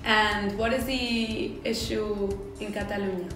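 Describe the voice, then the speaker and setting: Upbeat female interviewer, mid-pitched, long hall echo from a distance, music behind. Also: steady tone, a little slow, a bit bright. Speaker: student playing a survey reporter. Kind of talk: scripted mock news interview.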